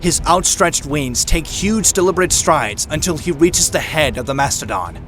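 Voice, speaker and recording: A man narrates an audio drama in a scripted, dramatic style. His voice sits somewhat high, with clearly audible music underneath. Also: expressive, moderate pace, fairly bright tone.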